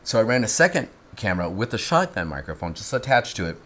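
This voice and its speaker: A man in casual instructional chat, explaining his film gear step by step with lively, teasing asides. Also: fairly low pitch, fast talker.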